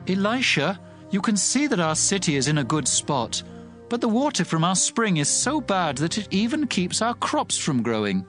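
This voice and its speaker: A worried townsman makes a respectful, plaintive appeal. The voice is high and very animated. Soft music sits behind the scripture drama. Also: normal speed. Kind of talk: dramatized Bible audio drama.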